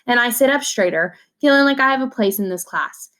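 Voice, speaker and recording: A woman reading a children's novel aloud in an expressive way, acting out the dialogue as she goes. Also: a little high, fairly quick.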